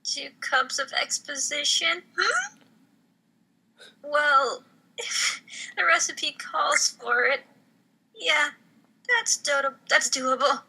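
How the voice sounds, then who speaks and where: A woman plays an old mother, worn down and angry, in a comedy musical read. The voice is high and very bright, and the words come slowly. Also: heavily acted, rough recording. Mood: distracted, muttering.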